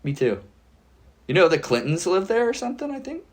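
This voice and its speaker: A man's voice: sits high, sing-song, brisk, slight background noise. A young man chatting casually as a podcast guest, laid-back and rambling, with lots of "like" and "dude". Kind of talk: casual conversation.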